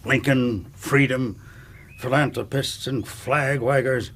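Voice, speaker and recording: An actor playing a middle-aged, willful, sardonic rich uncle with an American Southern accent in a radio drama. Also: male voice, mid-range voice, steady tone, slow pace, fairly bright tone. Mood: bitter, goading. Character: hard-drinking, willful rich ex-Confederate uncle, gruffly sardonic.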